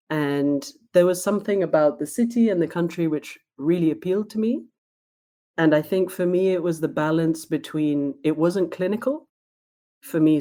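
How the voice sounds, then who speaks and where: A woman who grew up in Spain, speaking as a guest in a podcast interview in a thoughtful, measured way. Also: sits low, dark tone. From born in Sweden, raised in Spain. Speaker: guest, diversity trainer.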